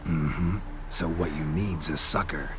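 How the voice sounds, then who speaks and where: An actor playing a gruff, laconic monster hunter in a video game, with a gravelly voice and terse, deadpan delivery. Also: male voice, slow, music in the background. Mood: wary, dry. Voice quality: gravelly, low. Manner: terse, deadpan, clipped sentences.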